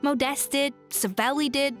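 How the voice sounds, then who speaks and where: An actress voicing a confident, seasoned female chef who mentors a younger cook, speaking in a brisk, scolding, self-assured way in a game cutscene. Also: high voice, fairly even intonation, faint music behind, lightly acted. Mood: stern.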